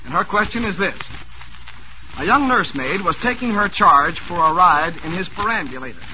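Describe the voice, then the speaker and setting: A man's voice: high voice, expressive, normal speed. A man hosting a 1940s radio music quiz, jovial and teasing as he reads out listeners' questions. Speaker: quiz show host. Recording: noisy old radio broadcast.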